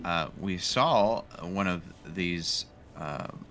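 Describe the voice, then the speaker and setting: A man's voice: sits low, lively intonation, drawn-out words, pushed and bright. A man talking casually as a player in a tabletop roleplaying game.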